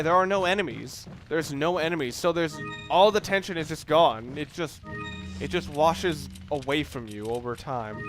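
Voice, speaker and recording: A man's voice: on the high side, a bit bright. A man giving casual, analytical video game commentary, rambling and correcting himself as he goes. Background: video game sounds.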